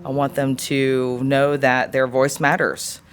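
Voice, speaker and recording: A woman in her forties answering an interview question in a thoughtful, earnest way, often pausing to search for words. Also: extremely low pitch, level tone, faint music behind. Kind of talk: reflective personal interview answer.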